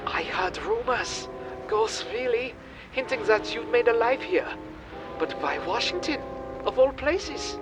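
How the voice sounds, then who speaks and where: A man playing an anxious accomplice, fretful and hushed in a comedy radio play. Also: very high voice, lively intonation, unhurried, music in the background. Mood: awestruck. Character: anxious, awestruck German Nazi accomplice, male.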